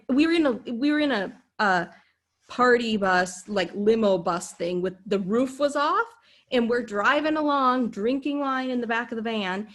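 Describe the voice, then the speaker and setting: Bubbly Minnesotan woman. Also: fairly high pitch. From Minnesota, USA. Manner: bubbly, laughs often, rambling storyteller. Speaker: podcast guest. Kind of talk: casual chat.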